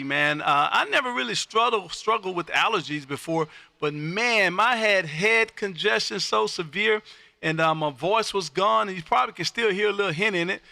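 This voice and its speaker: A man's voice: high-pitched, creaky, bright, forceful tone, no echo. An exuberant, folksy man hosting an amateur radio livestream, chatting on air and often repeating his phrases. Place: home radio room.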